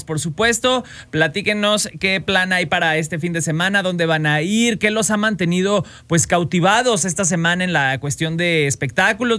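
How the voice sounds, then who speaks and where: An upbeat Mexican man in his forties hosts a radio gossip show, talking in a chatty way with quick asides. His voice sits high and sounds bright and forceful. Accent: Mexican Spanish. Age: 40s. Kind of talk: casual gossip radio chat.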